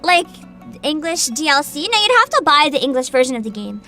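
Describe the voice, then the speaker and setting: A woman hosting a livestream, chatting casually with viewers in an excitable, bubbly, giggly manner. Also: high voice, a little fast, faint music behind. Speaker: livestreamer host.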